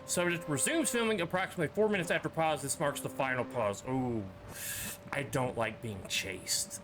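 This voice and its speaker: A man's voice: on the high side, moderate pace, low-quality recording. A man giving casual commentary as he plays a horror game, reading screen text aloud with joking, offhand asides. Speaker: streamer playing a horror game.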